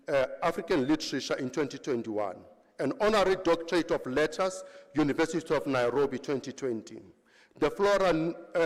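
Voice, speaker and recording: Man reading a citation in a reverberant hall. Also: fairly high pitch. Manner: halting, stumbles and restarts over names. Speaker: ceremony presenter reading a citation. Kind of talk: formal citation reading at a graduation. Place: large university great hall. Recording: long reverb of a large hall.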